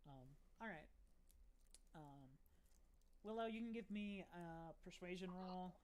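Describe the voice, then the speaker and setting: A woman's voice: on the low side, animated, unhurried, darkish tone, slight background noise. A woman running a tabletop game as game master, narrating casually and chatting with players.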